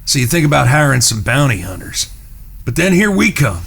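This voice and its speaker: An actor playing a shrewd, menacing Old West gunslinger, speaking in a deliberate drawl in an audio drama. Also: male voice, medium pitch, big pitch swings, creaky, a bit bright, breathy edge, full character voice. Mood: knowing, accusing. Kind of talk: western audio drama acting.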